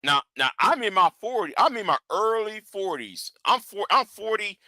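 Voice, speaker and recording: A man's voice: sits high, sing-song, moderate pace, bright, forceful tone, no room sound, studio quality. A man in his forties, a live stream host, rambling in casual commentary with lots of stammered repeats. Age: 40s.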